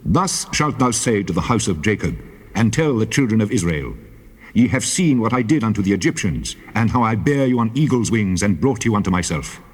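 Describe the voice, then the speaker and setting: An actor playing a majestic, commanding God in a scripture drama, proclaiming grandly and solemnly. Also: male voice, fairly low pitch, sing-song, fairly quick, breathy edge. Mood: majestic decree. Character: the Lord God, commanding, majestic male deity. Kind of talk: dramatized King James scripture acting. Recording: long cavernous reverb.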